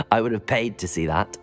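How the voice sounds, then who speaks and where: A composed young student wizard in a video game. He speaks politely and formally in a measured, slightly low voice. He sounds curious yet guarded, with faint music behind him. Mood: amused. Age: young adult. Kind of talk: video game cutscene acting.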